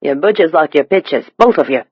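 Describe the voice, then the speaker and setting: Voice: medium pitch, lively intonation, brisk, husky, no echo. A hard, sneering little Cockney man, played by an audiobook narrator, suspicious of everyone. He talks in terse, guarded street slang, in a very dry, slightly dull recording. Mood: suspicious, gruff. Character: small wiry suspicious Cockney male messenger, hard and sneering.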